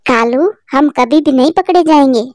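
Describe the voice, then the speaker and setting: A sly, scheming female crow from a cartoon, voiced by a woman, smug and gloating in a high voice. The sound is dry and close. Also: normal speed, darkish tone, no echo, natural voice.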